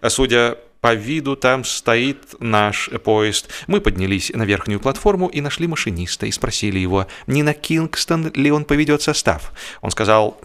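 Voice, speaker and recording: A man narrating a humorous literary story in an expressive, measured, mock-solemn way. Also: mid-range voice. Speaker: audiobook narrator.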